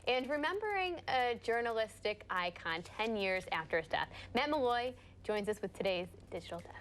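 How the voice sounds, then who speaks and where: A woman's voice: fairly high pitch, big pitch swings, moderate pace, fairly bright tone, a little hiss or hum. A woman with an American accent anchoring TV news, moving between reading and casual banter.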